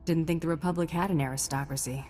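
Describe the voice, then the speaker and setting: An actress playing a cool, wry bounty hunter in a video game, with a deadpan, sardonic delivery. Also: female voice, low voice, quick pace, soft background music, close to an everyday voice.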